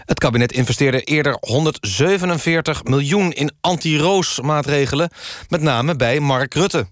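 An actor playing a serious, straight-faced radio presenter, reading mock news and interviewing formally. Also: male voice, a little high, moderate pace, dry recording, clean studio sound, natural voice.

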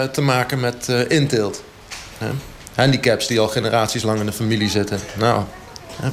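Actor as a halting, oblivious fitness coach, noisy background. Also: male voice, medium pitch, natural voice. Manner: halting, hesitant, matter-of-fact. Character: blunt, oblivious male fitness coach justifying absurd things. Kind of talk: mock radio reportage interview.

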